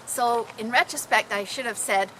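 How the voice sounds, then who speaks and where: A woman telling personal stories informally to a group, in an animated, dramatic manner. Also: a little high, moderate pace, a little hiss or hum, poor audio. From Canada. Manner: animated, dramatic, acting out exclamations. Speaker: storyteller.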